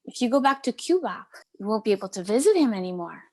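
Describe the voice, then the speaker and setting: A woman's voice: on the high side, very animated, fast talker. A woman playing a caring but firm middle-aged Cuban aunt in a play table read, coaxing and mixing in Spanish endearments. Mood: exasperated.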